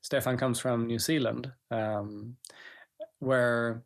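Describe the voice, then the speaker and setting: A man, a startup co-founder, talking in a thoughtful, measured way with frequent pauses and fillers during an interview. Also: mid-range voice, fairly even intonation, creaky. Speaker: startup co-founder and CTO guest. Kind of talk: reflective conversational interview.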